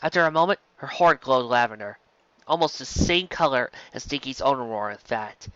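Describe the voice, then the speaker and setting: Story reader for audiobook fiction. Lively, swooping pitch, dry lo-fi sound. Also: normal speed, no room sound. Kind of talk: scripted narration, reading a story aloud.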